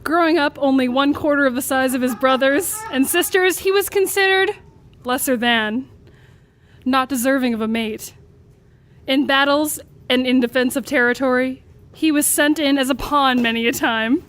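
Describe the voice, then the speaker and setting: Brief: female comedy storyteller, high voice, live story reading with an amused delivery. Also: normal speed, fairly bright tone. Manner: amused, breaking into laughter as she reads.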